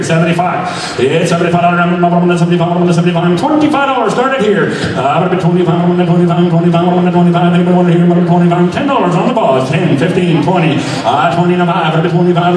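A man's voice: high voice, darkish tone, recorded from a distance. Man chanting auction bids in echoey hall. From Canada. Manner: rapid rhythmic bid calling. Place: hotel banquet hall stage. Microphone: handheld mic.

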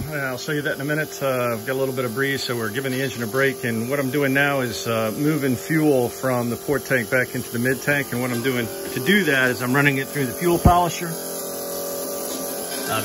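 A man in his fifties giving a relaxed, chatty vlog update, filmed on a phone at arm's length aboard a sailboat at sea. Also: medium pitch, rough recording. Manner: relaxed, chatty, with frequent fillers. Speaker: sailing vlogger. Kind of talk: casual vlog narration. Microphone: handheld phone camera at arm's length. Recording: loud engine and wind noise on deck. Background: boat engine and wind noise.

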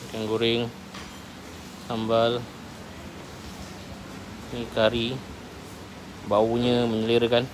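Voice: mid-range voice, monotone, slow, pauses often. A food vlogger with a Malaysian accent giving casual walk-around commentary, asking questions and repeating answers back in an inquisitive way. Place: walking outside and inside a roadside cafe. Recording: noisy handheld recording with cafe ambience.

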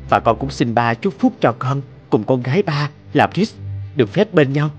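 A man voicing a devoted, romantic young boyfriend in an animated drama, speaking earnestly, tenderly and politely. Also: medium pitch, normal speed, husky, soft background music. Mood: devoted, solemn.

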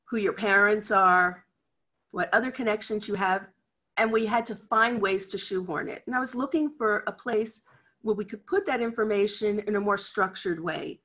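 A woman's voice: medium pitch, moderate pace, fairly bright tone. A middle-aged American woman, head of a library cataloguing department, giving a chatty, candid conference talk over a video call from her office. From United States. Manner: chatty, candid, acts out quoted voices. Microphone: video call webcam.

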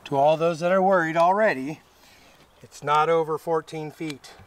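A man's voice: a little high, steady tone, normal speed. A middle-aged man chatting casually and jokily while working, with a folksy manner. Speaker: vlog host doing DIY bus repairs. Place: inside a converted school bus and outdoors beside it. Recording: clear background noise, camera audio.

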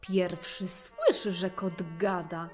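A woman narrating a fairy tale in verse, with an expressive, measured storytelling delivery. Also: on the low side, soft background music.

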